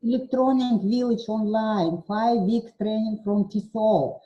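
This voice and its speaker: A woman whose first language is German, speaking English haltingly in a live online discussion as a participant and moderator. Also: fairly high pitch, steady tone, dark tone, dull audio. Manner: halting, searching for words.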